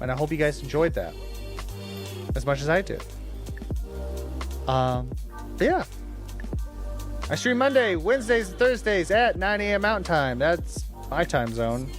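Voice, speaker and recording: A man livestreamer talking casually to his chat, informal and teasing, with some swearing. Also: a little high. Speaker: livestreamer hosting a watch-along.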